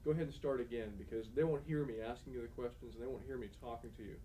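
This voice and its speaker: A male interviewer moves briskly through his questions, talking very fast. His voice is dark and mellow. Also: mid-range voice, slight background noise, poor audio. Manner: brisk, prompting and directive.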